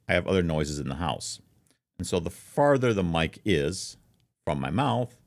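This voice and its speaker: A man with a deep voice talking casually and informally as a streamer, explaining things as he demonstrates them into a close microphone. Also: dry recording. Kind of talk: casual explainer talk to camera. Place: treated room at home. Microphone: close foam-covered microphone, slightly offset from mouth.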